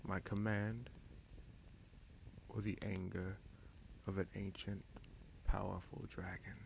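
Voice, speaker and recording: An actor playing a wry, candid bastard prince in a fantasy audio roleplay, speaking in a measured, musing way with frequent pauses. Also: male voice, low-pitched, level tone, gravelly, background noise, heavily acted. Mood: firm, sincere. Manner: measured, musing, pausing often mid-sentence. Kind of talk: fantasy audio roleplay, slow intimate monologue.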